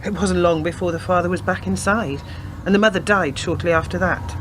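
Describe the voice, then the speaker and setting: A woman's voice: fairly low pitch, a little fast, lightly acted. Woman acting a matter-of-fact young policewoman, slightly noisy. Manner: matter-of-fact, clipped reporting. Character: young policewoman granddaughter, persistent and inquisitive. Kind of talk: radio play acting.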